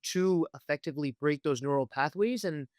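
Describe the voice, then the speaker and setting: A man with a slightly high voice hosts a conversational interview. He builds long, halting questions and keeps checking in as he goes, in a dry recording with very little room sound. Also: no echo. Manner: halting, wordy questions with repeated check-ins. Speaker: podcast host, interviewer.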